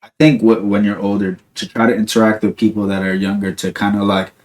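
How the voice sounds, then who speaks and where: A man's voice: on the low side, little pitch movement, fast talker. A young man from New Jersey hosting a podcast, talking in a rambling, laid-back way with lots of "like" and "dude". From New Jersey, USA. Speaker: podcast host. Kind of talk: casual chat.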